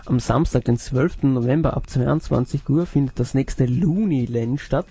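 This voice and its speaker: A man's voice: medium pitch, moderate pace, dark tone, husky, no room sound. A man from Upper Austria co-hosting a casual radio show and asking the guest questions. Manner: chatty, asks the guest questions. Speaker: radio co-host.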